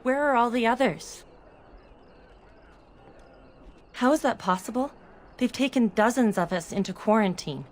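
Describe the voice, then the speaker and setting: A woman's voice: on the high side, expressive, fairly quick, close to an everyday voice. An actress playing a worried, determined townswoman in a fantasy game, earnest and urgent. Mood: worried, bewildered. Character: worried, determined female city-dweller seeking missing people.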